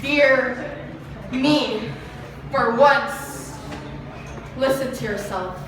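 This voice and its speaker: A woman's voice: fairly high pitch, extremely slow, recorded from a distance. A young woman with a Filipino accent performing spoken word poetry live. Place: live performance venue, heard from a distance. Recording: echoey venue from afar, noisy.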